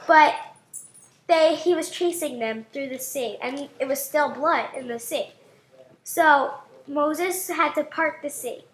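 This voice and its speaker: A woman narrating a puppet show in simple, improvised storytelling, pausing between phrases. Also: sits high, reverberant.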